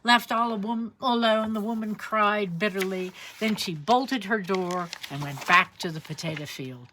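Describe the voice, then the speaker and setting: A woman reading a children's picture book aloud in an expressive storytelling manner. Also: mid-range voice, a little slow, a little hiss or hum. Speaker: storybook reader.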